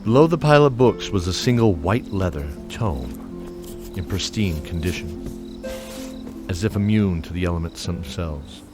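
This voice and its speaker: A man narrating a fantasy audio drama in a measured, scripted storytelling style with dramatic pauses. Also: low voice, animated, music in the background.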